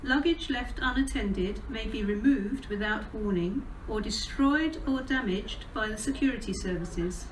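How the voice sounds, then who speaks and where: A woman with an English accent reading formal, measured automated railway announcements in pieced-together phrases over a station public address system. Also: on the high side. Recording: distant station PA speakers, clear background noise.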